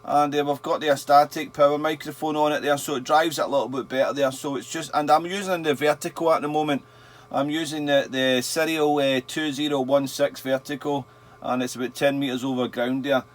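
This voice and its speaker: A man's voice: on the high side, fairly even intonation, brisk. A man chatting casually as a radio operator, friendly, repeating phrases and spelling words out in phonetic alphabet. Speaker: amateur radio operator hosting a channel. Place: small indoor radio shack.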